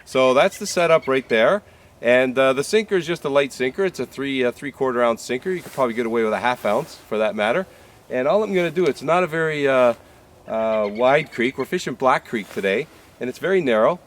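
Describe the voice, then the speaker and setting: A man in his fifties with a slightly stuffy cold, hosting a fishing show outdoors on a creek bank, chatty and folksy with plenty of "uh"s. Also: mid-range voice. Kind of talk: casual outdoor how-to talk to camera.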